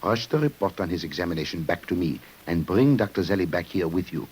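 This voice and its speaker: An actor playing a grave, commanding French police commissioner in a 1950s radio crime drama. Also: male voice, low-pitched, expressive, quick pace, a little mellow, slight background noise, low-quality recording. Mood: urgent, commanding. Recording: old-time radio broadcast.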